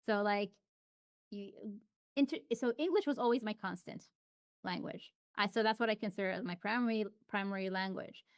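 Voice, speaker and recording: A woman speaks as an interview guest in a relaxed, reflective conversation. Her voice is smooth and clear at an ordinary middle pitch, recorded close and dry. She often restarts her sentences and drops fillers as she thinks aloud. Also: normal speed, no room sound. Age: adult. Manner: chatty, frequent restarts and fillers.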